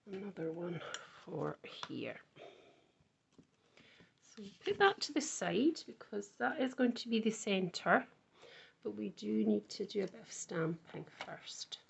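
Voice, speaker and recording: A woman with a croaky voice, casually talking through a card-making tutorial and thinking aloud as she works. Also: mid-range voice, a little hiss or hum. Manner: chatty, thinks aloud while working.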